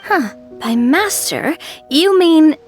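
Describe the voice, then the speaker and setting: An actress playing a spirited, friendly young lady in an anime game, outspoken and expressive in conversation. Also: high-pitched, takes it slowly. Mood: friendly, animated. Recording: music playing behind the voice.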